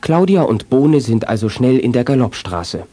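An actor narrating a children's detective radio play as a composed storyteller. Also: male voice, medium pitch, very animated, normal speed, mellow, soft background music. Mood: calm narrating. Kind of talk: scripted children's audio drama narration.

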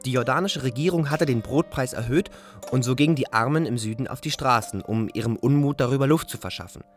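A man's voice: medium pitch, fast, soft background music, close to an everyday voice. A man playing a self-blaming, fatalistic young refugee who narrates his wanderings in a literary radio drama. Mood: matter-of-fact.

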